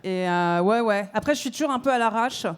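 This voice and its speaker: A woman's voice: fairly high pitch, lively intonation, brisk, clear, resonant tone. Chatty Belgian-raised woman in her thirties. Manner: chatty, laughs often, many fillers. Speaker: dating show contestant. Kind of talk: casual chat.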